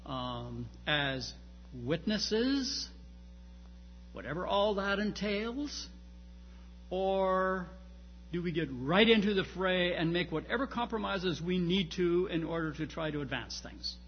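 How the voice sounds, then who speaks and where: Male academic lecturer, slightly gravelly, emphatic but halting delivery, speaking in a reverberant hall with some background noise. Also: sits high, expressive, lo-fi sound. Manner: halting, searching phrasing with repeated starts and emphatic stresses. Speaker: lecturer on church history and theology. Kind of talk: informal academic lecture with slides.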